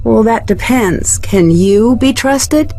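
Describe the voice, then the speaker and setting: A woman playing a level-headed, inquisitive young detective in a video game, speaking in a polite, measured, questioning way. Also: a little high, expressive, warm tone. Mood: composed, wary. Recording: music behind.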